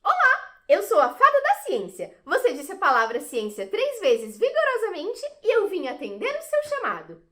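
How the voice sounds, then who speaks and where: A woman's voice: very high-pitched, wildly swooping pitch, moderate pace, pushed and bright, exaggerated voice. A woman playing a bubbly, teasing fairy of science in an audio drama, with a sing-song, theatrical delivery. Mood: grand, cheerful. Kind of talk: audio drama stage acting.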